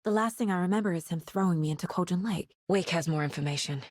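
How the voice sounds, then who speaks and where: A woman playing a determined, sharp investigator in a horror video game, measured and introspective, often as if talking to herself. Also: on the low side, dry recording, clean studio sound, close to an everyday voice. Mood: focused, pensive. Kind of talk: cinematic video game acting, naturalistic.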